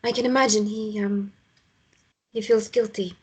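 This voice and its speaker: A woman acting a lonely, sorrowful mother in a drama table read. Her voice is husky, at an average pitch for a woman. She speaks in a hesitant, subdued way. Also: steady tone, normal speed, darkish tone. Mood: cautious. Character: sad, lonely mother, quietly in love with a widower.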